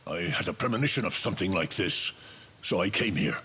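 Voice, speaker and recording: A man's voice: low voice, lively intonation, a little fast, pushed and bright, husky, heavily acted. A man playing a grave, regal older king and father in a fantasy game, speaking solemnly and with command. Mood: grave tenderness. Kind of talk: dramatic fantasy game voice acting. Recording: music behind. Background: music.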